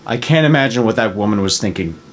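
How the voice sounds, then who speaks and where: A man's voice: on the low side, lively intonation, fast talker, a little hiss or hum, natural voice. A man reading the part of a troubled, protective, irritable husband at a screenplay table read. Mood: concerned.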